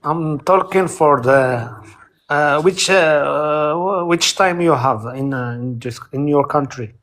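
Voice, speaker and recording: Man, Moroccan accent, halting second-language English, casual live chat guest, searches for words. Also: on the high side, a bit bright. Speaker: guest caller.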